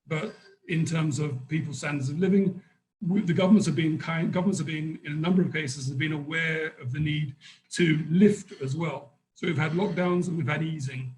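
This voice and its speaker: A man speaking off the cuff as a conference panelist, halting and repeating words as he works out his thoughts. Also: on the high side, rough recording.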